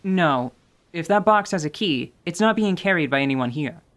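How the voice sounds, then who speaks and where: A performer voicing a grim, composed female warrior who speaks in a calm, measured, stern way. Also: medium pitch, natural voice. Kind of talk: radio drama acting.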